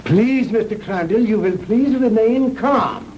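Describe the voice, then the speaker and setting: Grandiose German mad scientist. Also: male voice, high-pitched, animated, a little mellow, lo-fi sound. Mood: insistent. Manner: grandiose, condescending, deliberate. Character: mad Nazi scientist, proud, theatrically courteous, fanatical. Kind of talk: 1960s B-movie acting. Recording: noisy old 1960s film soundtrack.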